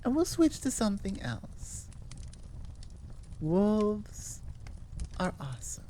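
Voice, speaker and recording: A woman's voice: mid-range voice, sing-song, takes it slowly, mellow, a little hiss or hum. A North American woman, an audio roleplay creator, chatting casually in a playful, goofy, meandering way to her listeners. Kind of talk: casual rambling outro chat.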